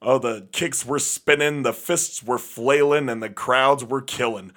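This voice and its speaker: A young man with a slightly raspy voice chatting casually as a podcast host, laughing often and rambling through fillers. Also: mid-range voice, a little slow, fairly bright tone. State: slightly raspy voice after a gig. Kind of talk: casual chat.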